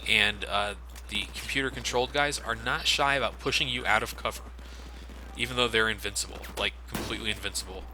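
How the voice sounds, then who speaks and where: A man's voice: on the low side, fairly quick, noisy background. A man giving casual, explanatory game commentary with plenty of swearing. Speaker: let's play commentator. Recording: loud game gunfire behind voice. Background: video game gunfire and action.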